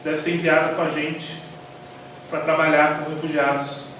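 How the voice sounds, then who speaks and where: A man with a Brazilian Portuguese accent, telling stories in a rambling, animated way while teaching a church class. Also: on the high side, moderate pace. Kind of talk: informal church class talk. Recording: echoey hall, mic far off, faint noise.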